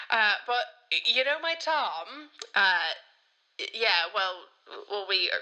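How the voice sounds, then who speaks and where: A woman's voice: medium pitch, big pitch swings, takes it slowly, sharp tone, heavily acted, poor audio. A young woman with an Irish accent playing a tipsy, giddy granddaughter, rambling and confessional, in a radio play voicemail. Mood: tipsy, brittle cheer. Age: young adult. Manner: rambling, exclaiming, giggly.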